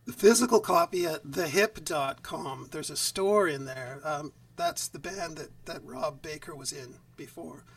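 A man is talking on a video call as a guest musician. His voice is quiet and level, and it sits fairly high. He sounds a bit distant, as if he is some way back from the mic. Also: a little slow, gravelly, few pauses. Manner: quiet, even speaking voice. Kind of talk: casual interview chat.

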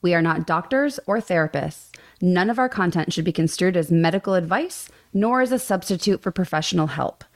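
A woman reading a scripted podcast disclaimer in a measured, formal way. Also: fairly low pitch, expressive. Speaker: disclaimer reader.

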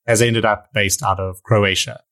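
A man with a South African accent hosting a podcast, reading a measured, explanatory intro and then asking interview questions. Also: lowish voice, little pitch movement, bright, forceful tone. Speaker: podcast host.

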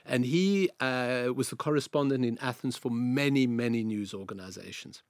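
A man in his sixties with a South African accent tells stories from his working life in a calm, measured way. His voice sits at an ordinary middle pitch. The sound is dry and close, with no room around it. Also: no echo. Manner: measured, anecdotal, repeats phrases. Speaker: guest, veteran journalist. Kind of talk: interview, storytelling.

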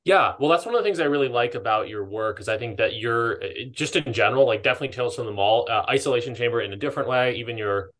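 A man's voice: medium pitch, fast, hardly any pauses, fairly bright tone. A man co-hosting a podcast in casual chat, often breaking off and restarting his phrases tentatively. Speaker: podcast co-host.

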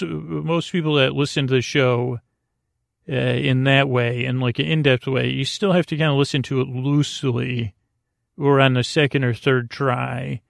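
A man hosting a bedtime podcast in a gentle, reassuring, halting monologue that meanders and over-explains, with a creaky voice. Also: mid-range voice, dry recording, clean studio sound. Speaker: podcast host.

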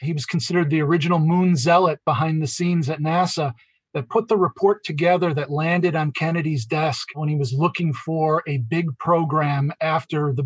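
A man's voice: on the high side, breathy edge, dry recording, poor audio. A man, a guest author and marketing executive, answering interview questions with fluent, detailed storytelling and a few fillers.

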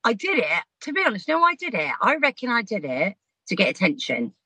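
Brief: woman, 50s, podcast guest, laughs through her stories, bright tone. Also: medium pitch, huge pitch swings, a little fast, breathy edge, dry recording. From Southampton, England. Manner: chatty, frank, laughs while telling stories. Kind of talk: casual storytelling chat.

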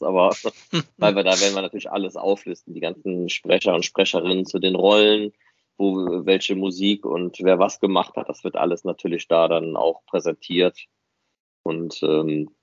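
A man's voice: deep voice, dry recording. A man chatting casually and unscripted as host of a fan podcast. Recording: muffled, dry, band-limited audio.

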